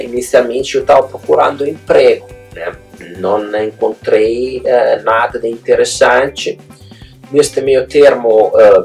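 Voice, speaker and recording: An Italian man speaks with a low, somewhat gravelly voice and a clear Italian accent. He hosts a live webinar and talks casually, pausing on fillers and tag questions. Soft music plays faintly behind him. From Italy. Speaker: host.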